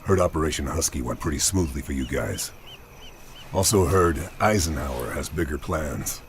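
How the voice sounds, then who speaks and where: A man's voice: rumbling low, animated, normal speed, a little hiss or hum. A man with an American accent playing a stoic, wry Allied wartime sniper in a game cutscene, speaking in a dry, understated way. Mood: dry, probing. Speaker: video game protagonist. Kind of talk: dramatic game cutscene acting.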